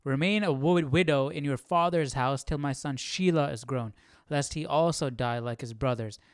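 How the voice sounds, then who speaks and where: A man's voice: mid-range voice, normal speed, smooth, no room sound, clean studio sound. A man hosting a casual live Bible study, reading and puzzling aloud as he repeats phrases.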